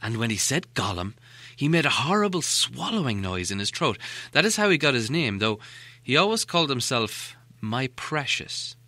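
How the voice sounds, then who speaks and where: A man reading a storybook aloud as an expressive audiobook narrator. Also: mid-range voice, moderate pace, dry recording.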